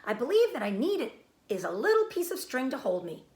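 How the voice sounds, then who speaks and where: A woman's voice: sits high, brisk, echoey room, voice pushed up. Woman voicing an eager, boastful little pig. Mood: eager, cheerful. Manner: excitable, naive, exclamatory. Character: eager, boastful, cheerful young male pig.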